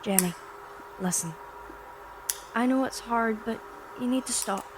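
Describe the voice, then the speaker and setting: A woman's voice: a little high, a little slow, background noise, lightly acted. A woman playing a protective, cautious working-class factory mother in an audio drama. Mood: hushed comforting.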